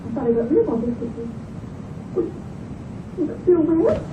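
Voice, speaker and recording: An actress playing a receptionist on a call in a video game. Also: female voice, high-pitched, very animated, a little slow. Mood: puzzled. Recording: thin phone-like line.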